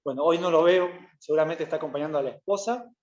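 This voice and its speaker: A pastor gives a loose, conversational sermon, stopping to correct himself and filling gaps with "eh". His voice sits a little high for a man. It is caught in a roomy church with a slightly dull, muffled sound. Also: fast talker. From Peru. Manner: relaxed, chatty, frequent "eh" fillers and self-corrections. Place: church hall.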